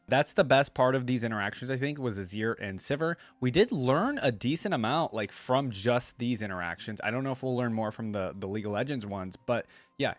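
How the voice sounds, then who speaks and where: A man's voice: medium pitch, no echo. A man chatting informally as a reaction video host, musing and speculating as he goes. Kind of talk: casual chat, reacting to game lore.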